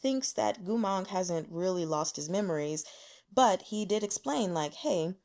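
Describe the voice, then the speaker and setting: A woman hosting a book vlog, giving casual, chatty commentary from her notes in an animated, slangy way with giggly asides. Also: fairly low pitch, measured pace.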